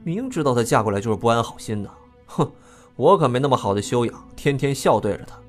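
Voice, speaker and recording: An actor playing a frank, excitable young prince, the outspoken younger brother, in an audio drama. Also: male voice, mid-range voice, fast talker, faint music behind, lightly acted. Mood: indignant, insistent.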